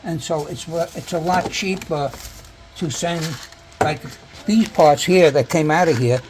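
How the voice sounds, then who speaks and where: A man's voice: fairly high pitch, unhurried, a little mellow. An older man, a radio hobbyist and tinkerer, casually explaining his gear as he demonstrates it in a home workshop. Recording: noisy home-video sound.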